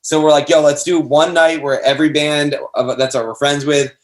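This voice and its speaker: A man's voice: fairly high pitch, fairly even intonation, moderate pace. Young American man chatting casually. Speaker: band member being interviewed. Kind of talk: casual chat.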